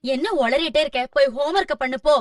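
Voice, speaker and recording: Brisk, friendly grown woman played by an actress, children's cartoon, high-pitched, rapid and expressive. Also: dry recording, studio quality, close to an everyday voice. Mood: puzzled, brisk. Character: friendly adult woman, brisk mother or shopkeeper. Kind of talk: Tamil-dubbed children's cartoon acting.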